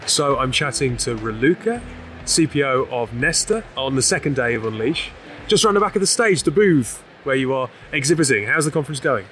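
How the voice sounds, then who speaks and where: A man's voice: medium pitch, animated, fairly quick, a bit bright, slight background noise. A man interviewing guests on a trade show floor, asking chatty, rambling questions with many fillers.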